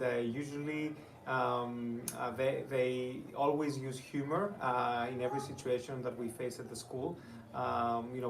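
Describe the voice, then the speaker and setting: A man from Greece with a Greek accent gives an informal testimonial talk as a teacher, speaking haltingly with frequent pauses. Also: medium pitch. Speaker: high school and middle school teacher. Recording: distant mic with clear background noise.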